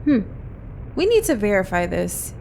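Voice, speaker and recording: A woman's voice: mid-range voice, big pitch swings, measured pace, creaky, a little mellow, a little hiss or hum. A woman playing a brash mercenary captain. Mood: blunt. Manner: blunt, swears casually. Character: brash female mercenary captain, crude and sure.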